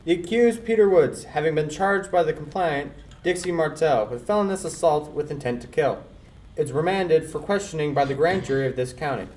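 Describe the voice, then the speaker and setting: Distant, stern actor playing a judge. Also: male voice, on the high side, fairly quick, a little mellow, close to an everyday voice. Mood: stern, official. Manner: formal, official. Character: stern, formal male judge. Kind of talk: 1940s radio play acting. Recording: distant mic with faint noise.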